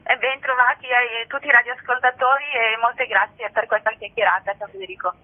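A woman being interviewed on radio as a festival director, with formal, literary wording and halting, repeated phrases. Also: on the high side, steady tone. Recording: narrow tinny phone line on radio.

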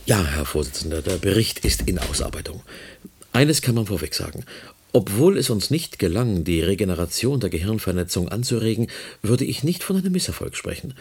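Evasive senior doctor in a radio play: swooping intonation, husky tone, hesitant and officious. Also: male voice, lowish voice, very animated, a little fast, darkish tone, heavily acted. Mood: officious, deferential. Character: evasive, calculating senior male research doctor, hesitant and condescending. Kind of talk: German radio play acting.

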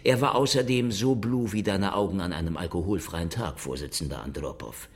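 An actor in a German radio drama playing a world-weary, sardonic police investigator who speaks and narrates with dry, insolent irony. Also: male voice, low voice, brisk, slightly rough. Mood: insolent, probing. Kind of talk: German radio drama acting with hard-boiled first-person narration.

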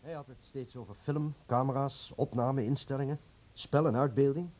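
An actor playing a mild, conciliatory middle-aged uncle and guardian in a Dutch radio drama, with a husky voice. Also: male voice, mid-range voice, steady tone, normal speed, a little mellow, natural voice. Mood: mild, placating.

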